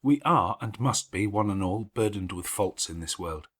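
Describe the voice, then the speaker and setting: Man playing a calm pious schoolgirl in an audiobook, earnest and forgiving, bit husky, measured old-fashioned speech. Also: on the low side. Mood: serene, devout. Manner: measured, gently preaching, formal Victorian diction. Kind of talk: audiobook character acting.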